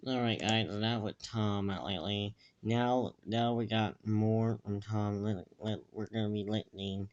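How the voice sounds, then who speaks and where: A man hosting casually and unscripted, introducing clips in a halting way and repeating words. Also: fairly low pitch, steady tone, clear, resonant tone. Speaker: video host introducing clips. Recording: dull lo-fi home recording.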